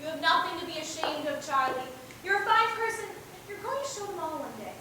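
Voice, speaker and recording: A woman's voice: expressive, a little fast. A woman playing a caring, encouraging schoolteacher in an amateur student stage play. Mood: tender. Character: caring, earnest young woman teacher, encouraging and protective. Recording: echoey stage, distant mic, low rumble.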